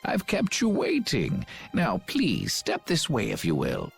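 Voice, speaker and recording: Video game village elder, old: husky, gravelly, courteous, highly varied melody, music behind. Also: male voice, huge pitch swings, full character voice. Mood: calm, courteous. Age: older. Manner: unhurried, formal, courteous. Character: slow, mild, wise old village elder man.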